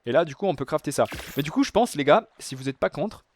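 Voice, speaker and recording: A man's voice: fairly high pitch, expressive, fast talker, a little hiss or hum. A young man casually commenting on his game, chatty and addressing his viewers often. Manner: chatty, talks to viewers as "les gars", many fillers. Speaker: gaming youtuber. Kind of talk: casual let's-play commentary.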